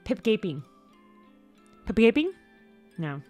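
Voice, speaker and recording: A young woman chatting casually on a livestream. She rambles, repeats and stumbles over words, and her voice sometimes jumps suddenly into high squeaks. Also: very animated, slow, a little mellow. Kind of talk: casual stream chat. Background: faint background music.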